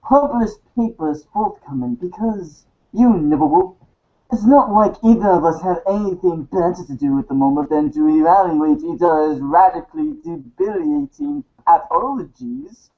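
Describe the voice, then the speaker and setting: A snooty, analytical teenage girl acted by a woman, wordy and deliberate. Her pitch moves in wide, mannered curves. It sounds like a fan theater reading on a slightly lo-fi recording. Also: expressive, lightly acted. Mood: smug, analytical. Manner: deliberate, wordy, stumbles on long words. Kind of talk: read-along fan theater.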